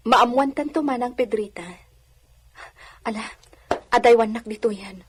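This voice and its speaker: A woman's voice: fairly high pitch, a little slow, slight background noise, natural voice. A woman playing a genteel, guarded young lady in an audio drama, polite and reserved in manner.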